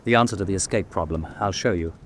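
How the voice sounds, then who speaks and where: A man's voice: low voice, quick pace, slight background noise, natural voice. A man playing a shrewd, confident prisoner of war, explaining things with self-assurance in a radio drama. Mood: sly, confident. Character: shrewd, confident POW plotting an escape. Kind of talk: vintage-style war radio drama acting.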